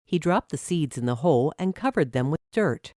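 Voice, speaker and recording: A woman's voice: low voice, animated, normal speed, mellow, no room sound, studio quality. A woman narrating a scripted story for children.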